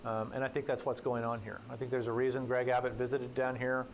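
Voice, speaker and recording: A man's voice: mid-range voice, completely flat delivery, fast talker. Guy talking politics to a breakfast crowd, folksy, jokey asides, faint noise behind. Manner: folksy, anecdotal, joking asides. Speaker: guest speaker, political journalist. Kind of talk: conversational talk with audience Q&A. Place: breakfast event room.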